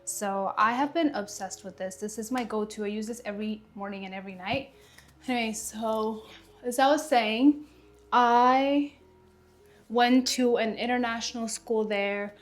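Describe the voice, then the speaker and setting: A woman's voice: fairly high pitch, unhurried. A woman in her thirties from Ethiopia, chatting casually to camera at home while doing her skincare, rambling and correcting herself as she goes. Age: 30s. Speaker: lifestyle vlogger.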